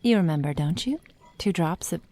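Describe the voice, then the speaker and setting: A woman playing a possessive, doting witch in an intimate ASMR roleplay, speaking softly and unhurriedly, often slipping into a whisper. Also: fairly low pitch, expressive. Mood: attentive, affectionate. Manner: soft, unhurried, affectionate, often dropping to a whisper.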